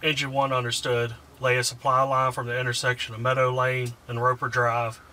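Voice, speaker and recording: A man's voice: medium pitch, level tone, brisk. A man giving clipped, procedural read-backs in the style of fire radio traffic. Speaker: firefighter demonstrating radio replies.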